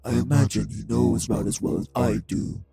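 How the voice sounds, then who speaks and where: A man playing a cruel, taunting male vampire in an audio drama, with a husky, gravelly voice and a slow, mockingly polite manner. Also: fairly low pitch, little pitch movement, warm tone, no echo, exaggerated voice. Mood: quiet threat. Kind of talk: scripted audio roleplay drama.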